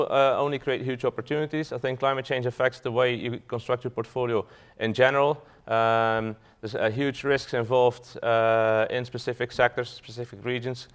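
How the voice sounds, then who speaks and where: A man's voice: medium pitch, smooth. A man from Belgium, a fund manager giving a business talk to an audience, hesitant with frequent fillers and restarts.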